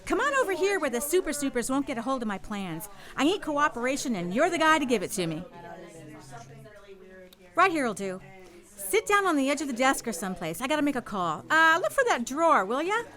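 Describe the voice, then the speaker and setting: A woman's voice: high voice, sing-song, fairly quick, pushed and bright, exaggerated voice. An actress playing an eager, breezy, slangy girl newspaper photographer in a radio drama. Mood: excited, bossy. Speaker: radio play actor. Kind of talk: radio drama acting in vintage newsroom style.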